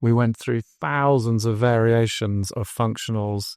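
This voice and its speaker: An English man, guest on a podcast interview, telling his story as a drinks brand founder in an earnest pitch. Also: unhurried, darkish tone, clear, resonant tone, dry recording, clean studio sound. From England.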